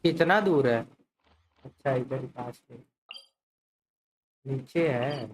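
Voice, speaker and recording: Indian man, live game streamer, casual chat, rapid delivery, faint background noise. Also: mid-range voice.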